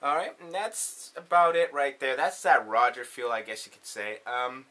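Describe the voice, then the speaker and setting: A man's voice: mid-range voice, moderate pace, sharp tone. A man hosting a casual hands-on tutorial, talking in an informal, slangy way. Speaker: tutorial host.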